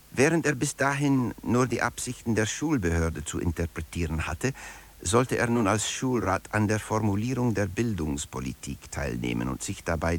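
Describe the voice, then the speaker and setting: A man with a fairly low voice narrates a satirical radio feature. He reads the script with composure, explaining each point as if giving a lecture. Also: vocal fry.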